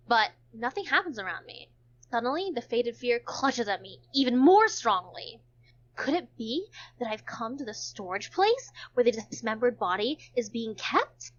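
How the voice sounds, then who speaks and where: High-pitched animated woman reading a visual novel aloud. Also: lo-fi sound. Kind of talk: casual read-aloud of game text with joking asides.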